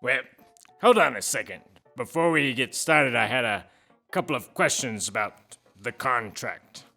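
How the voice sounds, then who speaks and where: A man playing a brash, cocky co-host, sharp-toned. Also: medium pitch, animated, measured pace, creaky, faint music behind, exaggerated voice. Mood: demanding. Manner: brash, sarcastic. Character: grumbling, cocky, demanding male celebrity co-host. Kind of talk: scripted comic co-host banter.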